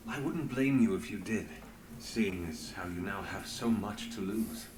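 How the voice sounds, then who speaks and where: Low, guarded weary leader. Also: male voice, a little slow, reverberant, noisy background. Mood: guarded, somber. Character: guarded, world-weary male leader of an investigation. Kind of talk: dramatic video game voice acting.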